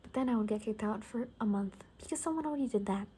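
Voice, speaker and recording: A woman with a dark, mellow voice at an average pitch gives a soft-spoken ASMR performance as a gossipy survivor. She rambles in a wry, offhand way and often slips into whispering. There is faint noise in the background. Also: fast talker. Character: gossipy female bunker survivor in a zombie apocalypse. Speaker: ASMR roleplay host.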